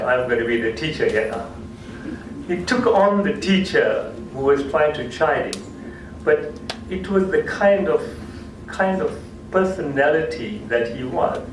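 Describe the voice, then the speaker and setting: Man telling a story in an echoey hall. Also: mid-range voice. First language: Gujarati. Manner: anecdotal, repeats and restarts phrases. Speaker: speaker at a cultural talk. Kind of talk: storytelling lecture. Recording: echoey hall, distant mic, noisy.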